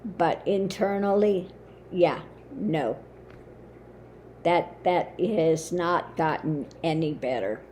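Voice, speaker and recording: A woman talking casually to her viewers in a halting way, her voice lowered and weakened after throat surgery. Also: a little mellow, slight background noise. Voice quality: lowered, limited voice. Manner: slow, halting, with many fillers. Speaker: spiritual channel host. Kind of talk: casual monologue to camera.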